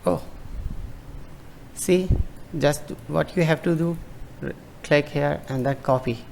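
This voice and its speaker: Slow, gravelly man explaining hesitantly. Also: fairly high pitch, darkish tone, a little hiss or hum, poor audio. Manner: hesitant, halting, many fillers and restarts. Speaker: tutorial presenter. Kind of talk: screen-recorded form walkthrough.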